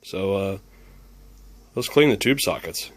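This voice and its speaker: Casual male hobbyist presenter with a lowish voice, talking through a hands-on repair as thoughts occur. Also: lively intonation, slow, no room sound. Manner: musing, thinking aloud.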